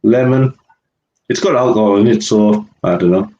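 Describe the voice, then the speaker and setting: A man's voice: lowish voice, moderate pace, mellow, lo-fi sound. A man with a head cold, chatting casually and laughing easily as co-host over a video call. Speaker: podcast co-host.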